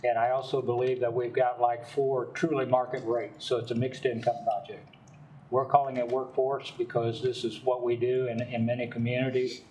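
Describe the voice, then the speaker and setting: An older man representing a developer, giving folksy, rambling testimony at a city council meeting and speaking loudly on purpose. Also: medium pitch, level tone, normal speed, far from the mic, a little hiss or hum, low-quality recording.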